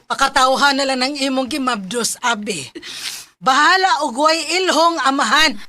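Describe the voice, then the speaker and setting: High-pitched, anxious mother played by an actress. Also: normal speed, music in the background, natural voice. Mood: distressed, protective. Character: distressed middle-aged mother, protective of her child. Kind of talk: Bisaya radio drama acting. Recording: faint background noise.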